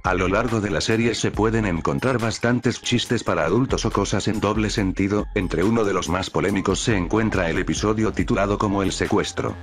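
A man's voice: lowish voice, brisk, few pauses, faint music behind. A man reading scripted narration as the narrator of a countdown video. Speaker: narrator of a cartoon top-list video.

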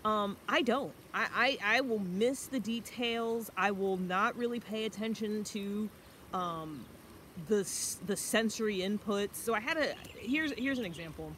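A woman's voice: medium pitch, measured pace, fairly bright tone. A young woman giving a casual, self-reflective explanation to camera in an informal, conversational way. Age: young adult. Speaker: vlogger. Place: outdoors by a river among trees. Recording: noisy outdoor ambience.

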